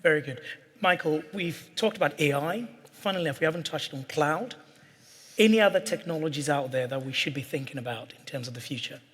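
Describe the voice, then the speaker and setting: A man with a husky, slightly higher voice moderates a conference panel, putting questions to the speakers in a brisk way. The hall adds a long reverb. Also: expressive, a bit bright.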